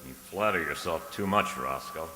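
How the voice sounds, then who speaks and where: A man's voice: sits low, normal speed, pushed and bright. A man acting an anxious, lovelorn man in a radio drama, earnest and pleading, with hesitant, stammering speech. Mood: uneasy. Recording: long hall reverb, music underneath.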